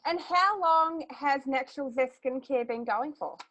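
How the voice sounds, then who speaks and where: Bright-voiced woman hosting an interview. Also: high voice, measured pace, dull audio. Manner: friendly, effusive with praise, many fillers. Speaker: host. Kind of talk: interview host.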